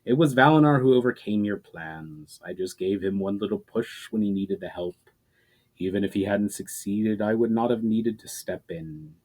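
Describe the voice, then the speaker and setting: A man's voice: lowish voice, normal speed, a little mellow, natural voice, rough recording. Man playing a smug, mocking fantasy warrior. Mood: amused, taunting. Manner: mocking, amused. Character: amused, taunting male warrior, smugly self-assured.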